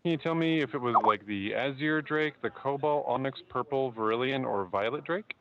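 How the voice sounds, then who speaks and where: Male support agent, average pitch, calm and methodical, slightly hesitant, muffled phone-call sound. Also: moderate pace. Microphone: telephone line.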